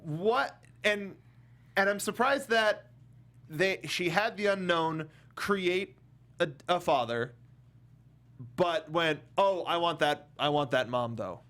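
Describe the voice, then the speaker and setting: A man, fairly young, hosting a casual movie review panel in an animated, jokey way and often stumbling over his words. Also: on the high side, takes it slowly. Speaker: talk show host.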